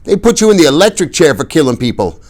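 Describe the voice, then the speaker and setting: A man's voice: a little high, very animated, fast talker, darkish tone, pitched above the performer's own voice. A man voicing a tough, edgy teenage boy from a street gang.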